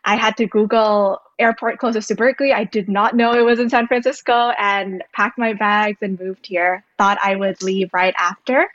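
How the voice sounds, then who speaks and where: A woman's voice: mid-range voice, fairly even intonation, moderate pace, hardly any pauses, fairly bright tone, no echo. Young woman from indonesia, earnest podcast guest, kinda muffled dry audio. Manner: thoughtful, earnest, explains at length. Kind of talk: podcast interview. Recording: dull lo-fi dry recording.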